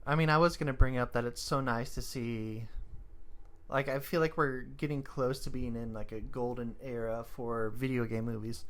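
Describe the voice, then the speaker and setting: A man with a medium-pitched voice co-hosting a podcast, speaking in an agreeable, conversational way. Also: fairly even intonation, normal speed. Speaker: film podcast co-host. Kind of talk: casual chat about movies.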